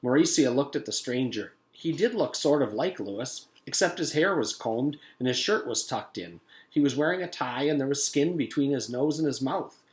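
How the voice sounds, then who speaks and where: An echoey room surrounds a man reading a children's book aloud. His voice sits at an average pitch, and he tells the story as a teacher would to pupils. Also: lively intonation, normal speed, few pauses, a little mellow.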